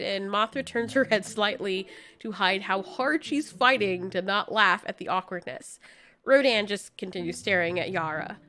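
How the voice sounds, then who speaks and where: A woman's voice: mid-range voice, bright, forceful tone. A woman narrating a scripted story as its storyteller, in the present tense.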